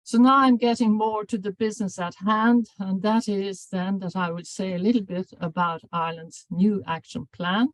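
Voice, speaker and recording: An older woman, a professor, giving an academic seminar lecture in a measured, formal way, often correcting herself mid-sentence. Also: medium pitch, fairly even intonation, no room sound, poor audio. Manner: measured, formal delivery with frequent self-corrections. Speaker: professor and anti-bullying researcher.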